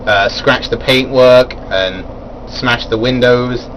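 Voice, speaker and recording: A man's voice: mid-range voice, steady tone, takes it slowly, noisy background. A man giving a casual, rambling spoken review of a wrestling event, full of fillers and chuckling at his own asides.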